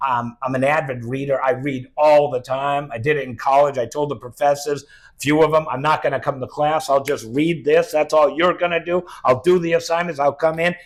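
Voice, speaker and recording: A man's voice: a little high, steady tone, a little fast. A middle-aged man with a slight New England accent, talking casually and with opinions as a guest in an interview, wandering into asides. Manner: chatty, opinionated, rambling with asides. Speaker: financial advisor guest. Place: Sacramento-area.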